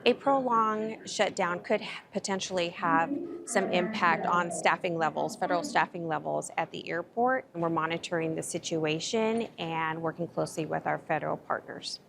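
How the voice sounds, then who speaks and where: A woman's voice: on the low side, moderate pace. A woman giving an interview statement as a spokesperson. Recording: clearly noisy location.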